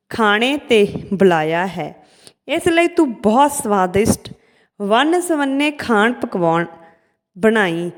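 A woman narrating a scripted folk tale as a storyteller, with deliberate, dramatic phrasing and pauses, in a heavily reverberant recording. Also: a little high. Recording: long hall-like reverb.

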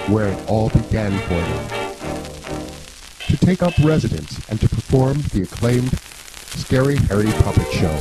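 A man's voice: fairly low pitch, low-quality recording. A man reading scripted mock-documentary narration in a formal, grandiose storytelling manner.

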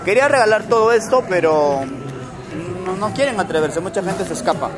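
A man's voice: sits high, lively intonation, brisk, over loud music. Upbeat young man on a noisy street. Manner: upbeat, coaxing strangers, laughing often. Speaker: street vlogger. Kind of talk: street challenge vlog. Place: busy city street at night. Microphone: handheld camera following him. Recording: crowded street noise. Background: crowd chatter and passing traffic.

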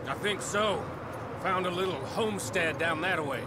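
An actor playing a sly, callous, brash outlaw gunslinger in a Western video game, with an insolent drawl. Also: male voice, sits high, moderate pace, lightly acted. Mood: casual, sly. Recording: loud wind and ambient noise.

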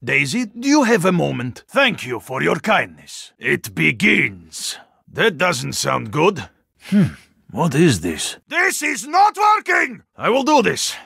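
An actor playing a big, stoic, earnest Russian super-soldier in a video game, with a Russian accent, rough gravelly voice and stiff, formal, clipped phrasing. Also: male voice, a little high, huge pitch swings, slow pace, sharp tone, no room sound, clean studio sound, heavily acted. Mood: grave, brooding, then forceful battle cries.

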